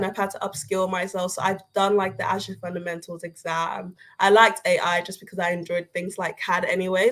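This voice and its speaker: Young female intern, casual candid presenter, middle-pitched voice. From Nigeria. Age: young adult. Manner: chatty, candid, filler-laden. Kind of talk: informal presentation to educators.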